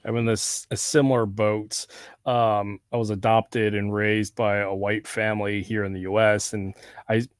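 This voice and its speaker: Man with a relaxed SoCal drawl. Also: fairly low pitch, clean tone, no echo, clean studio sound. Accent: Southern California with a Hawaiian touch. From Southern California. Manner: relaxed, rambling, quick to agree. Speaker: podcast host. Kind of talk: casual conversation.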